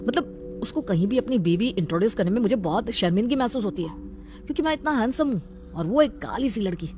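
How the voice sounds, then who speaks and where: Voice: mid-range voice, lively intonation, fast, a little mellow, soft background music, lightly acted. A performer voicing an arrogant, scornful young husband who talks harshly and haughtily in a storybook drama. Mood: troubled.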